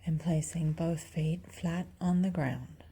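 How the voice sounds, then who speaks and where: A woman leading a guided meditation softly and calmly, with long pauses and a breathy, near-whispered delivery. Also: low voice. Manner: soft, calm, unhurried instruction with long pauses. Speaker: clinical psychologist guiding a meditation.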